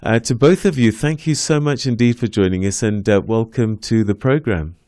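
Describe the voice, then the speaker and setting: A man hosting a news talk program, reading news and putting questions to guests with frequent pauses. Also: medium pitch, a little mellow, no room sound, clean studio sound. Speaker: radio show host. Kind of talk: news talk show presenting and interviewing.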